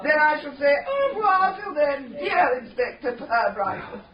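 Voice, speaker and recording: An actress playing a prim, mischievous, scheming spinster who runs a charity, in a radio drama. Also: female voice, sits high, big pitch swings, a little slow, crowd noise. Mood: bustling. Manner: arch, coy, prim and mischievous. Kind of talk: 1970s radio drama acting. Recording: dull slightly noisy radio drama recording.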